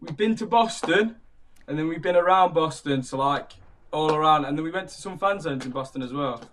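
A man's voice: on the high side, brisk, fairly bright tone, recorded from a distance, slight background noise. A man, a football fan, talking casually about his trip.

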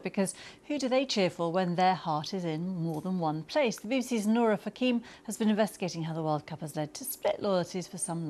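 Woman newsreader, lowish voice, steady formal read, studio news. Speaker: news presenter.

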